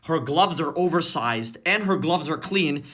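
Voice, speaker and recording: A man with a slightly raised pitch runs through a countdown list, his delivery sarcastic and mocking throughout. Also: lively intonation, measured pace, fairly bright tone, breathy edge. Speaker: online commentator. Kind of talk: countdown commentary video.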